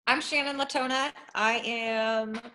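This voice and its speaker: A woman in her forties giving a slow, self-deprecating introduction on a video call. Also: fairly high pitch, steady tone, bright, forceful tone. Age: 40s. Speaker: new consultant.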